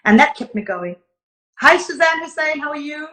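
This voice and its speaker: A woman's voice: sits high, animated, moderate pace. A woman, a guest singer telling her story earnestly in a casual live interview. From Zimbabwe. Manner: warm, earnest storytelling, greets viewers between answers. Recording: echoey room.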